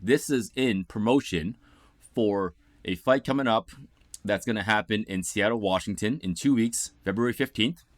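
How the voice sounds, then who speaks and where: A man's voice: lowish voice, moderate pace, dry recording, clean studio sound. A man from Ontario hosting a casual interview, upbeat and chatty. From Ontario, Canada. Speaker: interview host. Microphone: video call setup.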